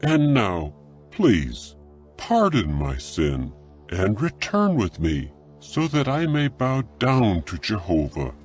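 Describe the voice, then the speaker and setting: Man playing an anxious king, defensive, pleading, animated pitch, unhurried, faint music, scripture drama. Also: full character voice. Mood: contrite pleading. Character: anxious, self-justifying male king pleading for pardon.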